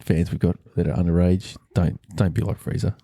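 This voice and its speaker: A man's voice: low-pitched, fairly even intonation, brisk, dark tone, no room sound, studio quality. A man hosting a podcast with casual, rambling sports chat and bantering humour. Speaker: podcast host.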